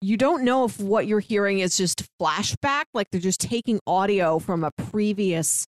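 A woman's voice: mid-range voice, normal speed, dry recording. A woman with a mid-range voice co-hosts a casual podcast, retelling movie scenes at length with plenty of fillers. The recording is dry and close, with no room sound. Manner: lively retelling with frequent fillers. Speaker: podcast co-host. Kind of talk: casual chat.

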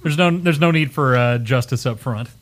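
A man's voice: mid-range voice, normal speed. A man on a podcast panel chatting casually, with a blunt, offhand, joking manner.

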